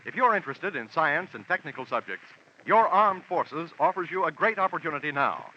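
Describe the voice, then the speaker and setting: A man works as an old-time radio announcer, reading scripted copy in 1940s broadcast style. His voice sits slightly high. The recording is dull, with faint noise. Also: expressive, a little fast.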